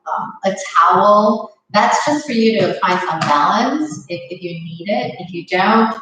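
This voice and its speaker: A woman leading a live online yoga class, her voice a little rough from allergies, giving calm, guiding cues. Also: mid-range voice, distant mic. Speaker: yoga instructor. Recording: echoey room, away from the mic.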